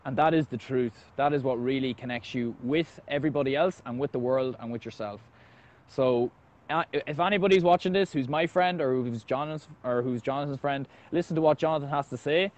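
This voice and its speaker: A man's voice: medium pitch, a little fast, darkish tone. A man hosting a live video chat in an earnest, affirming, conversational way, recorded with dull, lo-fi stream audio. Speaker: host of a live video talk.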